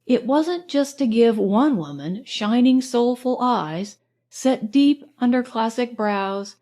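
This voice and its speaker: A woman narrates an audiobook with a somewhat high voice. Her reading is scripted literary storytelling in the first person. She keeps it measured and expressive.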